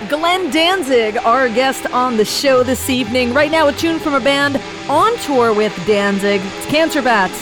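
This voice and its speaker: A woman's voice: high voice, animated, measured pace, hardly any pauses. Woman radio host, upbeat announcer delivery, faint music bed. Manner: upbeat, polished announcer delivery with some hesitant restarts. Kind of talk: radio interview with announcer-style intros.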